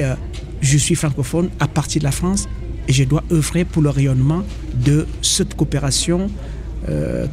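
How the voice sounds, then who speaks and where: A man from Cameroon, an association representative, speaking earnestly and haltingly in a radio interview at an association fair. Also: a little high, a little mellow. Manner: hesitant, earnest, many pauses.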